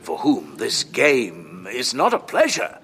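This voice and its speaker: An actor playing a courteous, long-winded, analytical doctor in a formal, measured audio drama performance. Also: male voice, medium pitch, huge pitch swings, fairly bright tone, in a large hall, a little hiss or hum, exaggerated voice. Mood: accusing, solemn. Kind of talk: full-cast audio drama acting.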